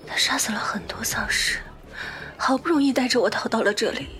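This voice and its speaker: An actress playing a grieving, embittered widow in a radio drama, half whispering in a breathy voice. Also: female voice, fairly high pitch, lively intonation, brisk, pushed and bright. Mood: mournful. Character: grieving, embittered widow among hostile prison survivors. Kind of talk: dubbed Chinese radio drama acting.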